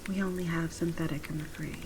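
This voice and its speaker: A woman playing a polite, genteel pet shop saleswoman in a soft-spoken ASMR roleplay, measured and often near a whisper. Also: sits low, fairly even intonation. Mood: welcoming, courteous. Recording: clearly audible ambient background noise.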